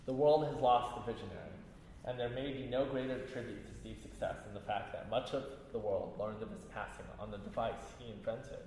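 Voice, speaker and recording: A man's voice: medium pitch, fairly even intonation, a little fast, a little mellow. A man reading a prepared text aloud at a public event. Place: large reverberant room at a library event. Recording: very echoey hall with background noise.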